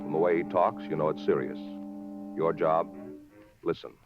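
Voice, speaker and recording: A man announcing in 1950s radio style, emphatic, measured and formal. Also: rumbling low, fairly even intonation, over music. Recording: dull 1950s radio recording.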